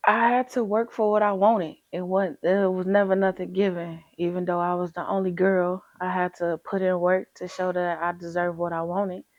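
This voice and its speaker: Low-voiced woman rapper. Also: moderate pace, few pauses, no echo, dull audio. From Chicago, USA. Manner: relaxed and confident, slips into animated quoting of herself. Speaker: rapper, interview guest. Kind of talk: casual interview.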